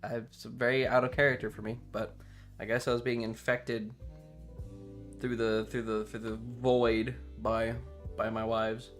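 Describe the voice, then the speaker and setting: A man's voice: medium pitch, little pitch movement, measured pace, soft background music. A man chatting casually on a livestream to his viewers, rambling and playfully dramatic. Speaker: live streamer.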